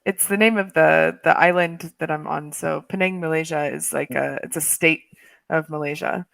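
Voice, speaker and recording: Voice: mid-range voice, fairly even intonation, moderate pace, gravelly, fairly bright tone, dry recording. An English tutor chatting casually on a video call, laughing often and saying "like" a lot.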